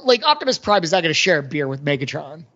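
A man's voice: fairly high pitch, big pitch swings, quick pace, sharp tone. A man co-hosting a casual podcast discussion about film, talking conversationally with lots of filler "like". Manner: conversational, peppered with "like". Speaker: podcast co-host. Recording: slightly muffled, lo-fi audio.

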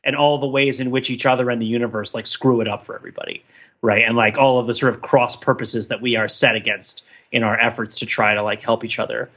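A man with a bright, forceful voice talks casually as a podcast co-host. He circles around his points, backing up and rephrasing as he goes. The recording is a little dull and lo-fi. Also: medium pitch, fairly even intonation. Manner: halting, digressive, self-correcting. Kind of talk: casual pop culture discussion.

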